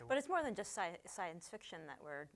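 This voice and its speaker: A woman's voice: mid-range voice, big pitch swings, a little fast. A woman in casual group conversation, adding short remarks. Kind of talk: casual chat. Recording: background noise with clicks.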